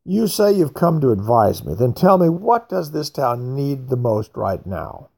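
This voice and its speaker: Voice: medium pitch, sing-song, unhurried, gravelly, exaggerated voice. A reader as a stern army major. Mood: firm. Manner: firm, sharp, businesslike. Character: stern military major, man.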